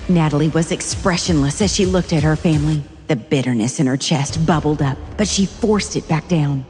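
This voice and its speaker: A woman's voice: fairly low pitch, a little mellow, in a large hall. A woman narrating a dramatic story from a script.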